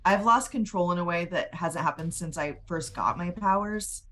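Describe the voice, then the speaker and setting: A woman's voice: fairly low pitch, moderate pace, fairly bright tone. A woman chatting casually as a player in a tabletop roleplaying game.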